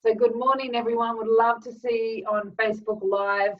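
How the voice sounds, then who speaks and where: A woman energy healer hosting a live webinar with a slightly croaky throat, chatting casually with lots of ums and greeting viewers by name. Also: on the high side, little pitch movement, normal speed. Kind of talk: casual live-stream talk. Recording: distant, dull lo-fi webinar audio.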